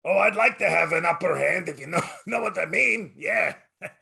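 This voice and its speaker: A man puts on a goofy, crude male character with a high, bright voice full of rasp and gravel. He wisecracks lewdly and fills his lines with "yeah, yeah, yeah." The tone is harsh and breathy, far from clean. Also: expressive, normal speed, exaggerated voice. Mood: crude joking. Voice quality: raspy, gravelly. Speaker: guest. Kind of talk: casual podcast banter.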